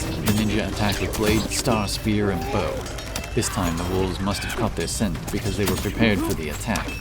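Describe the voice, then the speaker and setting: A very low-voiced man narrates a fantasy tale. His delivery is measured and solemn, like a dramatic audiobook, with clear music playing behind him.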